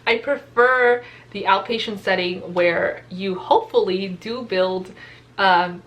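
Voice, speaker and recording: A woman's voice: medium pitch, slow pace, a bit bright, poor audio. A young adult woman, a nurse practitioner student whose first language is Spanish, talking earnestly and casually to camera with lots of fillers. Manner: chatty, earnest, many fillers.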